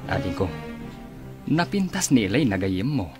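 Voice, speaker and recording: A man playing a teasing, curious older brother in a radio drama, with a husky voice and an Ilocano accent. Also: on the low side, very animated, moderate pace, faint music behind. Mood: encouraging.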